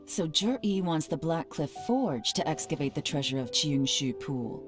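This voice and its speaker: A woman voicing a shrewd, secretive female spy who speaks in a calm, measured, analytical way, in a game with music under her lines. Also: low voice. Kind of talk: game voice acting.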